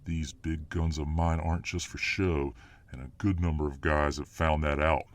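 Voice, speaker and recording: A man's voice: rumbling low, steady tone, normal speed. A man playing a dominant, boastful, hot-tempered muscular fireman boyfriend in an ASMR roleplay audio drama. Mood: boastful, confident. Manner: boastful, cocky swagger.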